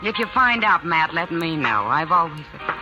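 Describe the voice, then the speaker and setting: An actress playing a wry, worldly saloon hostess in a 1950s radio drama, dry and knowing. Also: female voice, low voice, sing-song, normal speed, dull audio. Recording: old radio broadcast with music underneath.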